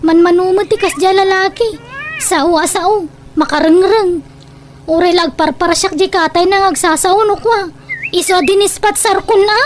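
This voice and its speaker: A woman's voice: very high-pitched, fairly even intonation, normal speed, a little hiss or hum. A woman playing a picky, complaining unmarried daughter in a comedic Ilocano radio drama.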